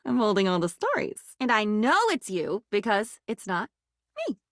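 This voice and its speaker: A woman's voice: medium pitch, big pitch swings, moderate pace, a bit bright, no echo, clean studio sound. A woman voicing a living diary in a video game, bitter, wounded and theatrical, dramatic and sarcastic as she swings between confiding and outburst. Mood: whimsical, manic. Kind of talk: video game character voice acting.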